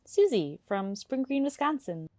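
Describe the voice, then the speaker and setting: A woman playing an earnest radio caller who tells an eerie personal story in a deliberate, dramatic way. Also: high voice, very animated, mellow, dry recording, studio quality. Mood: polite. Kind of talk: scripted first-person storytelling.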